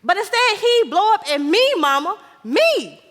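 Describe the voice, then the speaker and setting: Actress as high-pitched, exasperated American daughter. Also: female voice, big pitch swings, measured pace, fairly bright tone, heavily acted. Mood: indignant. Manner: emphatic, dramatic pleading. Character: exasperated, confused grown daughter and frustrated wife. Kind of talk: stage play acting. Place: live stage in a reverberant hall. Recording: echoey theater hall.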